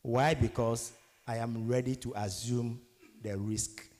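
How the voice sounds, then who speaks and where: A man's voice: medium pitch, fairly even intonation, slow pace, darkish tone. A man in his thirties from Ghana with a Ghanaian English accent, giving an emphatic motivational workshop lecture and throwing rhetorical questions at his audience. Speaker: guest lecturer. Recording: long hall reverb.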